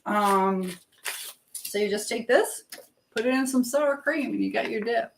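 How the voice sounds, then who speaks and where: A woman's voice: fairly high pitch, a little slow, vocal fry, slight background noise. A woman chatting casually to viewers on a live stream, rambling along and thinking aloud. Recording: echoey, roomy sound.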